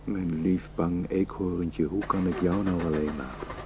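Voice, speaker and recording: A man's voice: low-pitched, steady tone, measured pace, noisy background. An actor in a radio drama playing a protective, suspicious, hot-tempered village herdsman and husband, scornful and impulsive. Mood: calm, reassuring.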